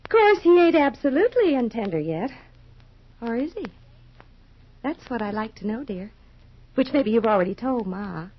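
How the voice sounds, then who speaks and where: A woman's voice: on the high side, sing-song, normal speed, long pauses. A woman playing a nosy, chattering, easily offended married sister in a 1950s radio soap opera, folksy and fussy. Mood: coy, prying. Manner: gushing, folksy, ungrammatical, fussy. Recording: muffled vintage radio recording.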